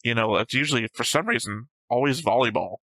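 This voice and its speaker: A man with a bright, forceful voice, recorded close and dry. He talks casually and argumentatively, picking a story apart point by point, with some swearing. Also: medium pitch, brisk, no room sound, rough recording. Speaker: host of an anime reaction show. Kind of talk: casual discussion and analysis of an anime episode.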